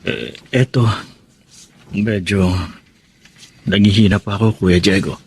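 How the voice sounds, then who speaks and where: A man's voice: on the low side, fairly even intonation, slow pace, slight background noise. A man acting a lovelorn, self-pitying young man who drinks, in a plaintive, wounded radio drama style. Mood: weak, subdued.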